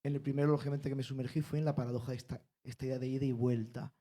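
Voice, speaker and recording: A man's voice: mid-range voice, little pitch movement, rapid-fire, dark tone. A man from the flamenco world giving a lecture-style panel talk, intellectual and emphatic, repeating key phrases. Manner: intellectual, emphatic repetitions, builds arguments. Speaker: panel speaker, flamenco researcher.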